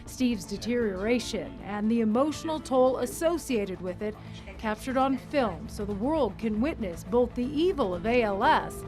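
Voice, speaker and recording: A woman reporter narrates a news feature in a polished, measured way. Her voice sits high for a woman. Soft music plays faintly underneath her. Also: lively intonation, rough recording.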